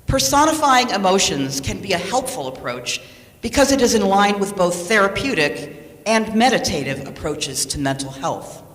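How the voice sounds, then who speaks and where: In an echoey hall with long reverb, a woman reads a sermon from a script. Her delivery is measured and deliberate, and her pitch moves in a lively, wide range. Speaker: lay speaker reading a sermon.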